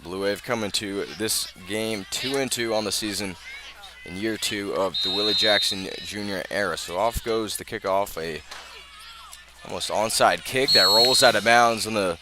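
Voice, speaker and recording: An American man doing live play-by-play radio commentary of a high school football game. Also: normal speed, heavy background noise. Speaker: play-by-play announcer.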